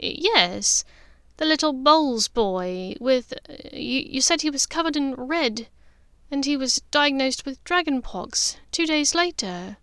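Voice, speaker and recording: Lady reader doing a warm big-sister witch, high voice, expressive n a bit halting, storybook. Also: no room sound, studio quality, exaggerated voice. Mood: hesitant.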